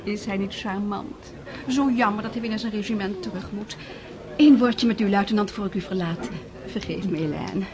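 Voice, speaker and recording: An actress playing a gushing, gossipy aristocratic society hostess in a radio drama, effusive and theatrical. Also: female voice, mid-range voice, normal speed, natural voice. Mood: confiding. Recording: murmuring party crowd behind.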